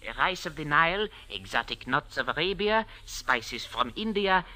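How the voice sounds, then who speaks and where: Piercing, fawning hotel waiter. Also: male voice, fairly high pitch, big pitch swings, normal speed, sharp tone, full character voice, low-quality recording. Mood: fawning, grandiose. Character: obsequious, flowery Cairo hotel waiter, grandiose salesman. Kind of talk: old-time radio acting.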